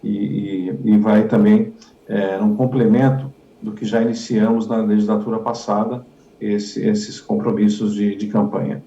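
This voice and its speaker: Male politician, low gravelly voice, halting and repetitive answers, dull echoey recording. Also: flat delivery, mellow. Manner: halting, repetitive, many fillers. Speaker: politician interviewee. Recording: dull echoey room audio.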